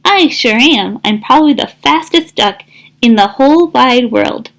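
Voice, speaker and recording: A pushy little storybook duck girl, played by a woman reading aloud, high-pitched and full of herself. She brags and exclaims, drawing out her words to show off. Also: lively intonation, a little slow, creaky, full character voice. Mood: boastful. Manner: bragging, exclaiming and shouting.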